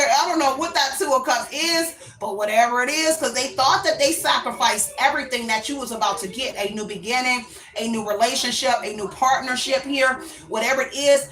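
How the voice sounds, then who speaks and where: A woman's voice: high-pitched, sharp tone, reverberant, low-quality recording. A woman giving a casual, rambling tarot reading to her viewers. She often hedges with "I don't know" and calls the listener "baby.". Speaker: tarot reader.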